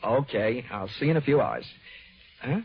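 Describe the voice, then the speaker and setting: An actor playing a cocky, wisecracking American insurance investigator in an old-time radio detective drama, with a wry, punchy delivery. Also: male voice, medium pitch, big pitch swings, normal speed, vocal fry, breathy edge, soft background music, heavily acted. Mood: breezy, confident. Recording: dull vintage radio recording.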